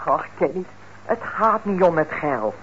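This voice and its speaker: A woman's voice: on the low side, big pitch swings, unhurried. An actress playing a bitter, manipulative mother nearing forty in a radio play, nagging and wheedling. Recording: dull, noisy old radio recording.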